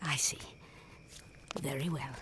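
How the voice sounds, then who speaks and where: A woman playing a kindly but firm cottage dame in an audio drama, gentle, patient and motherly. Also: very deep voice, steady tone, slow. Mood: brisk, kindly. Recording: faint background noise.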